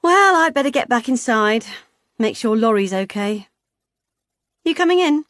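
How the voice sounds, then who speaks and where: A woman reader voicing a hearty, loud middle-aged woman, talking high and breezily in an audiobook. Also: animated, a little slow, no echo, studio quality. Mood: booming, jolly.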